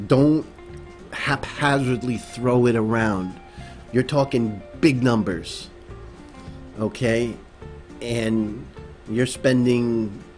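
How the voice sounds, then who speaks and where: A man hosting a casual late-night livestream, talking loosely with many ums and uhs and reading viewer messages aloud into a desk microphone. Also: medium pitch. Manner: rambling, many fillers, reads chat aloud. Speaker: livestream host.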